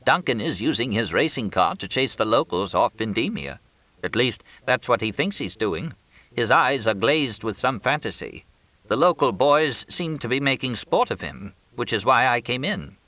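A man's voice: medium pitch, animated, moderate pace, sharp tone, dry recording, pitched above the performer's own voice. A man voicing a grim, resigned old woman, a grieving mother, in an audiobook story. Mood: weary, resigned.

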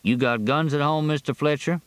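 A man's voice: fairly high pitch, normal speed, smooth, no room sound, pitched above the performer's own voice, close to an everyday voice. A man voicing a small-town sheriff, wry and plain-spoken, in a novel reading. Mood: businesslike. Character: dry-humored, folksy small-town Southern sheriff, long married.

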